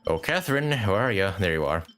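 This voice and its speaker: A man with a fairly low voice and lively, swinging intonation talks through a game on a livestream. He rambles casually and thinks out loud, cursing lightly. Music plays behind him. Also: a little fast. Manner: rambling, thinking aloud, mildly swearing. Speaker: live streamer. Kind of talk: casual gaming stream commentary.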